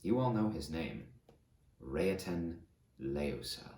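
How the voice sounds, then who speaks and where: A man voicing a deep, grave fallen tyrant. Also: sing-song, darkish tone, echoey room, a little hiss or hum, exaggerated voice. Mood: brooding. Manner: grave, measured, emphatic confessional delivery. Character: remorseful fallen tyrant conqueror confessing his atrocities. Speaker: audiobook narrator. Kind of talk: dramatic first-person audiobook reading.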